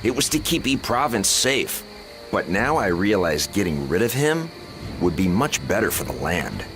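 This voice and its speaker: A man playing a sharp-tongued, scornful but loyal strategist in a dramatic video game, speaking bluntly and articulately. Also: fairly low pitch, very animated, moderate pace, over music. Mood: blunt, persuasive.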